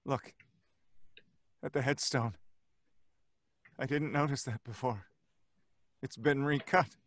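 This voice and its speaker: An actor playing a devoted, distraught husband, earnest and pleading, in old-time radio horror style. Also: male voice, mid-range voice, fairly even intonation, takes it slowly, fairly bright tone, no echo. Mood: grieving, awed. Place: foam-lined recording booth. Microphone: studio microphone, close.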